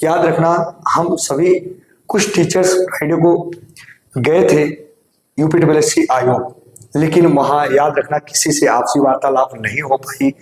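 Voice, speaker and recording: Man, slightly hoarse, earnest coaching teacher, raised voice, echoey room. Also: on the high side, a little slow. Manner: earnest, repeatedly urges students to listen carefully. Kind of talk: direct-to-camera exam news update. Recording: roomy echo.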